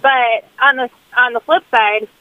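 A woman chatting on a morning radio show, talky and quick to laugh. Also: a little high, steady tone, narrow, tinny audio. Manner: chatty, laughs often while telling things. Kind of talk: casual radio chat.

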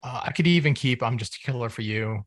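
A man's voice: mid-range voice, fast talker, fairly bright tone, dry recording. Man reviewing an album, dry sound. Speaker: podcast panelist.

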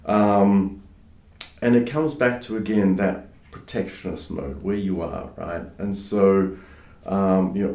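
A low-voiced man from Australia whose first language is French, speaking as an interview guest. He talks in a relaxed way, with many fillers and restarts. The room around him adds a noticeable echo. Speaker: guest, startup advisor. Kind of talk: casual interview conversation. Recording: roomy echo.

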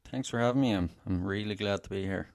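An Irish man with a very deep voice speaks as a podcast guest. His talk is relaxed and casual, with small restarts as he tells a story. The sound is dry, with no room around it. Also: fast, creaky, no room sound. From Ireland (Wexford).

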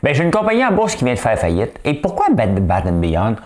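A man's voice: mid-range voice, wildly swooping pitch, brisk, a little mellow. A man with a Quebec French accent hosting his own show alone, chatting casually with joking asides and frequent tag questions. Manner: chatty, asks "hein?" often, joking asides. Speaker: solo online show host. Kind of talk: casual solo commentary show.

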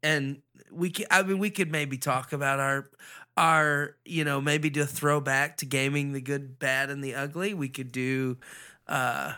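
A man's voice: medium pitch, steady tone, measured pace, bright, forceful tone, clear, resonant tone. A man co-hosting a podcast, talking casually in an enthusiastic, rambling way. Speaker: podcast co-host.

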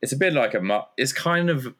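A man's voice: mid-range voice, expressive, fairly quick, a bit bright. A man, a guest chatting casually in an interview and rambling with many fillers. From Germany. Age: 30s. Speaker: guest, community founder.

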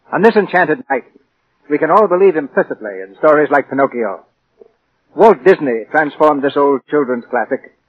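A man's voice: fairly high pitch, breathy edge. A man presenting a radio show in a formal, scripted 1940s style, grand and oratorical. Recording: muffled old radio broadcast with music.

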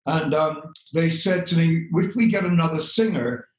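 An older English man with a loud speaking voice, telling rambling stories as an interview guest, with many fillers and frequent laughs. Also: a little high, moderate pace, echoey room, rough recording. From England. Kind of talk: relaxed storytelling interview.

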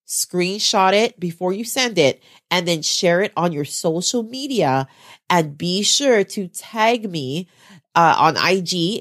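A woman hosting a podcast in a chatty, casual way, stuffed up and getting over the flu. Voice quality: lower voice than usual. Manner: chatty, says "y'all", fillers. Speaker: podcast host.

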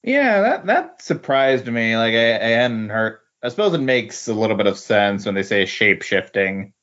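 A man's voice: on the low side, sing-song, moderate pace, a bit bright. A man chatting casually as a podcast guest, in a tentative, hesitant way. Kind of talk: casual chat. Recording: dull, lo-fi voice recording.

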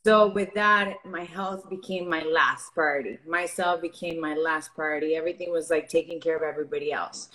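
A woman's voice: deep voice, steady tone, brisk, hardly any pauses, a bit bright, rough recording. A young woman from Venezuela, a fitness coach and guest on a podcast, talking openly and earnestly with many fillers. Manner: open, earnest, filler-heavy. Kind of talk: casual interview chat.

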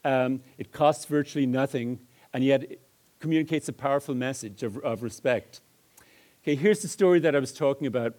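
A man giving a lecture, explaining examples in a measured, hesitant way. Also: medium pitch, darkish tone. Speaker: lecturer on multilingual education.

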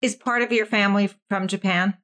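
A woman's voice: mid-range voice, steady tone, fairly bright tone. A woman hosting an interview, asking follow-up questions with frequent restarts. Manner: inquisitive, halting follow-up questions. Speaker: interview host. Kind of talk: conversational interview.

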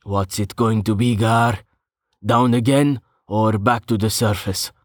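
A man's voice: fairly low pitch, steady tone, measured pace, no room sound, studio quality. A man voicing a sardonic, grinning male adventurer who teases sarcastically in a fantasy audiobook. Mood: teasing. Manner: sarcastic, teasing.

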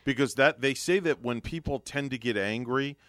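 A man from New York hosts a morning radio show at an ordinary, mid-range pitch. He teases his co-hosts and laughs a lot, then switches to brisk ad reads on a studio mic. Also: fairly bright tone, no room sound. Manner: teasing, laughs often, reads ads briskly. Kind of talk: joking morning radio banter.

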